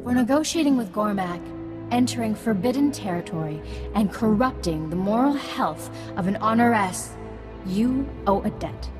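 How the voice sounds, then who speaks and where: A stern alien judge, played by a woman, handing down rulings in clipped, formal phrases. Her voice is mid-height with game music clearly underneath. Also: medium pitch, animated, normal speed, lightly acted.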